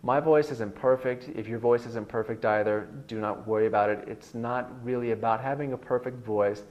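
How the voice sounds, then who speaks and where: A man with a bass-baritone voice teaching a guitar lesson in an online video, explaining in a relaxed, rambling way with many fillers. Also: moderate pace, highs cut off.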